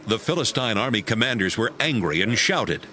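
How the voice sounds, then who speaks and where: A man's voice: fairly low pitch, expressive, moderate pace, bright, forceful tone, a little hiss or hum. A man narrating a dramatized audio Bible as scripted storytelling.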